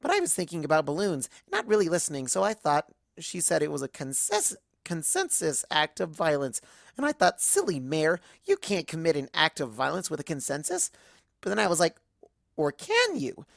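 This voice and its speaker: A woman's voice: low voice, wildly swooping pitch, normal speed, gravelly, no room sound, clean studio sound, exaggerated voice. A woman voicing a bubbly, scatterbrained young cartoon pony who rambles earnestly like a child. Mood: chirpy, rambling. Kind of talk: first-person fan fiction narration in character.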